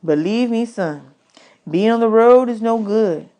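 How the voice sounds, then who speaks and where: A woman's voice: on the high side, unhurried, warm tone, close to an everyday voice. A woman voicing a folksy drifter man in a storybook hobo camp, plainspoken and advising. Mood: weary, advising.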